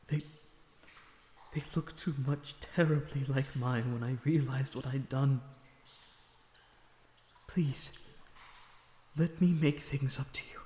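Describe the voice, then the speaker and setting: A man playing a lonesome, remorseful, gentle vampire prisoner in an audio roleplay, speaking in an earnest, halting, emotional way. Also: mid-range voice, lightly acted. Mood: pleading, tender. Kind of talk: dramatic audio roleplay acting. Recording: echoey reverberant dungeon-like sound, background noise.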